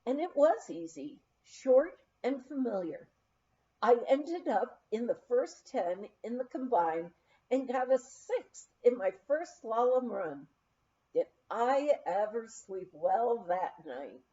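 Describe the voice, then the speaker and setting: A woman reading a memoir aloud as an audiobook reader, in an animated, unhurried storytelling way. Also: a little high. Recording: dull, lo-fi recording.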